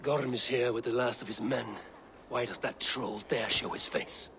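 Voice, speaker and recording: A man's voice: mid-range voice, steady tone, normal speed, sharp tone, a little hiss or hum. An actor playing a fierce, proud male Viking warrior who speaks bluntly and hurls insults. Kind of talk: video game cutscene acting.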